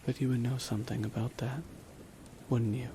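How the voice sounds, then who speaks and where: A man playing a brooding, remorseless villain in a dramatic ASMR roleplay, speaking in a hushed, deliberate way with long pauses. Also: medium pitch, natural voice. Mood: solemn, reflective. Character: brooding, remorseless male villain at his dying nemesis's side. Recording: steady rain noise behind the voice. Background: rain falling on a surface.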